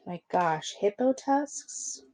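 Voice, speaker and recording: A woman's voice: mid-range voice, lively intonation, slow, mellow, slight background noise. A woman teacher reading a picture book aloud, adding conversational explanations and check-in questions for children.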